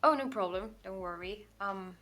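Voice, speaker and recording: Fast-talking Portuguese woman artist. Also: mid-range voice, fairly bright tone, soft background music. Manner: fast-talking, rambling, with frequent "like" and self-corrections. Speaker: artist streaming a drawing session. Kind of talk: casual livestream chat while drawing.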